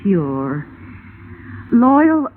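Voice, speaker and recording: Prim older housekeeper, thin old-radio sound. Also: female voice, mid-range voice, sing-song, drawn-out words. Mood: flustered, polite. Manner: formal, hesitant. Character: prim, evasive older housekeeper of a country estate. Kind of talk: 1940s radio acting. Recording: noisy old radio broadcast, narrow band.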